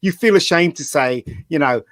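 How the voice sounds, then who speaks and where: A man's voice: on the high side, normal speed, fairly bright tone, dry recording. A man chatting on a podcast, opinionated and emphatic, repeating phrases for stress. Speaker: podcast panelist. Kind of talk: casual opinionated fan chat.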